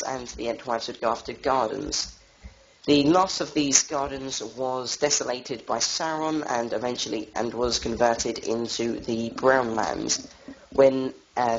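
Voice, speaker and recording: A young man with a cold giving an informal lore talk as a tour guide, halting and full of fillers. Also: medium pitch. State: has a cold. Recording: dull lo-fi voice chat audio.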